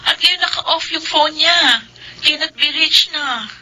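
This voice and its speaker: A woman's voice: high-pitched, measured pace, sharp tone, a little hiss or hum, heavily acted, low-quality recording. An actress with a Filipino accent playing an anxious, apologetic young mother in a radio drama. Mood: worried. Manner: worried, apologetic.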